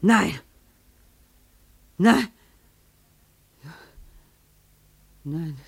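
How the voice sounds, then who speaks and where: A woman's voice: lowish voice, extremely slow, gravelly, breathy edge, exaggerated voice. An actress playing an embittered, querulous woman desperate for justice in a German radio drama, agitated, plaintive and repeating herself. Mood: disbelieving.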